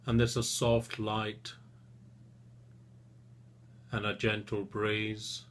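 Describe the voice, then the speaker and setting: A man leading a guided relaxation session as a therapist, speaking soothingly and gently in short phrases with long pauses. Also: lowish voice, completely flat delivery, a little hiss or hum.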